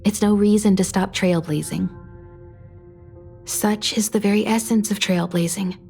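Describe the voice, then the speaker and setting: A woman's voice: medium pitch, steady tone, unhurried, vocal fry, a little mellow, soft background music. An actress playing a poised, encouraging, philosophical woman in a video game, composed and formal.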